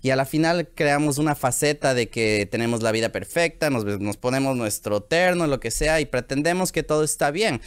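A man's voice: mid-range voice, lively intonation, moderate pace, hardly any pauses, clear, resonant tone, dry recording. A man from Ecuador with an Ecuadorian accent co-hosting a business podcast in casual talk, often affirming the other speaker. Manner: affirming, frequent back-channel "ujú". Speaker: podcast co-host.

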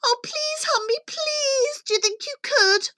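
A woman's voice: extremely high pitch, unhurried, pushed and bright, pitched above the performer's own voice, full character voice. A woman voicing a frightened little male pig in a storybook tale, squealing and pleading in urgent, emphatic cries. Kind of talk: dramatised verse storytelling.

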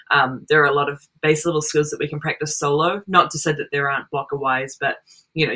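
Low-voiced woman with an Antipodean accent. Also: bright, forceful tone. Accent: Australian and New Zealand. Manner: rambling, with frequent fillers and laughs. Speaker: roller derby jammer and captain. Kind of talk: casual podcast chat.

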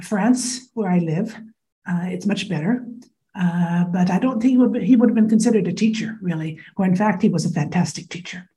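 A woman's voice: fairly low pitch, fairly quick, warm tone. An American woman in her fifties, a guest speaking thoughtfully and frankly in an interview, with many small fillers. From United States (Iowa). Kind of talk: conversational interview.

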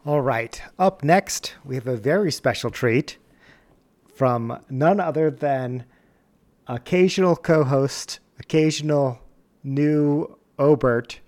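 A man's voice: a little high, takes it slowly, darkish tone. A man hosting a podcast in a casual mock awards-ceremony style, playful and mock-formal. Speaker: podcast host presenting joke awards.

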